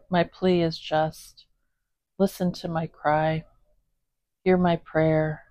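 A woman's voice: on the low side, darkish tone, dry recording. A woman hosting a home video, chatting casually and reading a psalm aloud, with chatty apologetic asides. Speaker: host reading scripture. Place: home, indoors, voice behind camera filming a cat.

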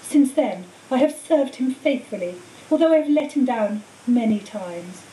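A woman's voice: on the high side, moderate pace, lightly acted. Woman voicing a cold, treacherous wizard teacher. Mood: menacing. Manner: calm, menacing, coolly matter-of-fact. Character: cold treacherous male wizard teacher, servant of a dark lord. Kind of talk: audiobook character voice. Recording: roomy echo, distant mic, faint hiss.

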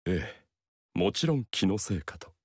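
A loyal retainer speaking with formal courtesy and a dry edge of irony. His voice sits low. The sound is close and dry. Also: very animated, normal speed, husky, no echo, exaggerated voice. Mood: courteous. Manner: formal, courteous, quietly ironic. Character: polite, loyal young male retainer. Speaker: game character voice. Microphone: dry studio mic.

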